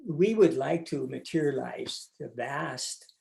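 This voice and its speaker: An older man on a video call giving a thoughtful personal account, pausing often with fillers. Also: medium pitch, gravelly, a little mellow. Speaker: interview guest, monk.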